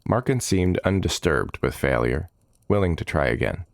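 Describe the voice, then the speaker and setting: A man narrating a scripted fantasy audiobook as storyteller, measured and dramatic in his delivery. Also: sits low, animated, creaky, no room sound, clean studio sound.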